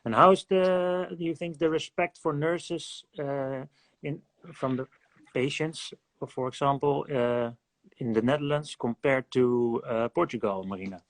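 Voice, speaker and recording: A man's voice: mid-range voice, steady tone, measured pace, no echo, rough recording. A man hosting a casual interview, asking friendly short questions. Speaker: host.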